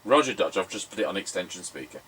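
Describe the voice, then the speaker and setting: A man's voice: deep voice, very animated, quick pace, fairly bright tone, a little hiss or hum. A man chatting casually as he demonstrates a CB radio, using jokey radio slang. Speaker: CB radio tester and presenter.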